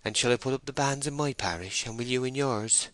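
A timid young woman from a storybook, acted out by a man, pleads in a lifted, bright voice. She is tremulous and hesitant, meek and hopelessly in love, recorded close and dry. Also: medium pitch, brisk, no room sound, voice pushed up, close to an everyday voice. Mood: tearful, pleading.

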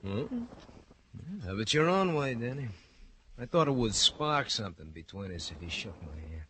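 An American actor playing a slick, mocking hoodlum turned respectable, florid and falsely friendly, in an old radio crime drama. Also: male voice, mid-range voice, very animated, measured pace, fairly bright tone. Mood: smug, gloating. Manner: florid, theatrical, drawling repetitions, needling chuckles. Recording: noisy vintage radio broadcast.

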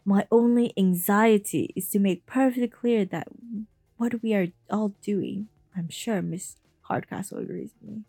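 A woman voicing a smooth, evasive older male official who talks slowly and overly politely. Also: medium pitch, mellow, no room sound, faint music behind. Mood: placating.

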